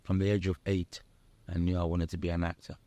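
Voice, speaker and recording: An older man who grew up between the UK and Nigeria, an actor telling stories in a casual interview, animated and laughing often. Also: low-pitched, quick pace, no room sound. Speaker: actor guest.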